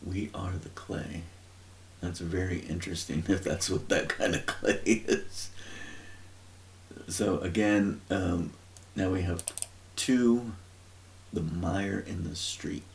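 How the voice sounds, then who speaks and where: Slow, gravelly low-voiced man musing. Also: a little hiss or hum. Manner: rambling, searching for words, many fillers. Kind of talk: informal religious teaching, thinking aloud.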